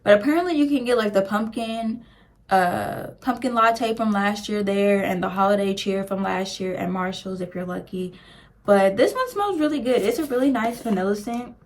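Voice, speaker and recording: A woman's voice: medium pitch, moderate pace. A young woman, chatting casually and gushing over products in a beauty review, full of "like" fillers. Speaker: beauty vlogger.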